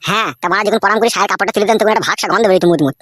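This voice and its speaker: Irritable two-timing village husband, comedy cartoon. Raised thin pitch, rapid crude bluster, rural West Bengal accent. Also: male voice, fairly bright tone. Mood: brazen, annoyed. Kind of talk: comedy cartoon dub, quarrelling.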